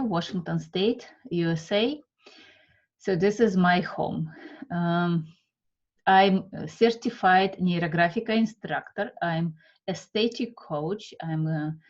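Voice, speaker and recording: A woman from Siberia with a Russian accent, introducing an art class in a halting, unhurried way with many fillers. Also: medium pitch, lo-fi sound. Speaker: art instructor.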